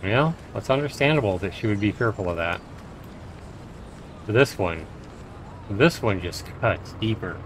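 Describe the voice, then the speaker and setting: A man's voice: lowish voice, expressive, unhurried, pauses often, a little hiss or hum, rough recording. A man livestreaming a game, reading the narration aloud and adding his own commentary. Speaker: streamer and host.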